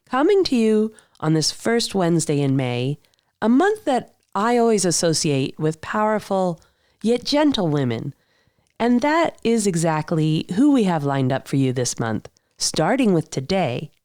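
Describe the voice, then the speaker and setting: A woman's voice: on the low side, darkish tone, no echo, clean studio sound. A woman hosting a podcast, upbeat and mixing in Spanish words as she introduces her guest and asks questions. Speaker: podcast host. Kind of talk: scripted intro then interview.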